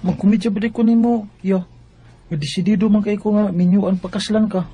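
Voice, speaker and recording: A young Cebuano boyfriend in a radio drama, eager and pressing. His voice is soft-edged, mellow and very breathy-rough. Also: high-pitched, steady tone, brisk. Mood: determined. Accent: Filipino, Cebuano. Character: eager, insistent teenage boyfriend turned husband.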